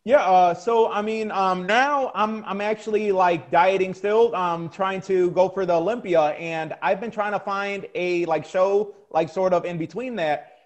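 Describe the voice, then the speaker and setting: A young man with a high voice answers questions in a halting way, restarting his sentences and leaning on "like" and "um". He sounds casual and unpolished. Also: steady tone. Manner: hesitant, many fillers and restarts. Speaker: guest, competitive bodybuilder. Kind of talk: casual interview chat.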